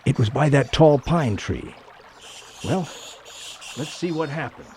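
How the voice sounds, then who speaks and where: A man's voice: a little high, animated, slow pace. A man acting an earnest, determined character haunted by a ghost in an amateur radio play. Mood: uneasy. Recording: clearly noisy, trickling water behind.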